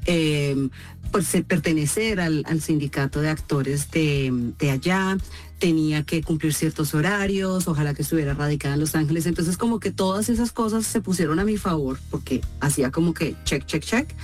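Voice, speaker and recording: A Colombian woman with a Colombian accent, an actress answering interview questions in an expressive, storytelling way. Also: sits low, normal speed, soft background music. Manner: expressive, storytelling with acted quotes. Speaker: actress guest.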